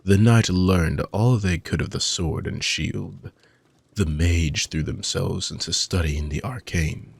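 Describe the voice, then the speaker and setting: A man playing an ancient, weary dark mage villain with a gravelly, husky voice, speaking in soft, deliberate, formal phrases as an intimate fantasy audio roleplay monologue. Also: low-pitched, dry recording, full character voice. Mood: solemn, brooding. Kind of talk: slow, intimate dramatic monologue for sleep-aid audio roleplay.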